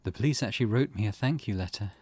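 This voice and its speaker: Man reading as wistful storytelling woman, low voice. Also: fast talker, husky, no room sound. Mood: wistful, grim. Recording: loud music behind voice.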